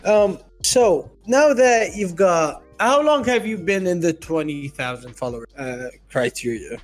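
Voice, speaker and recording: Podcast co-host, male, slightly high voice, blunt and crude. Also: lively intonation, measured pace, faint music behind. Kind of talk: casual podcast chat.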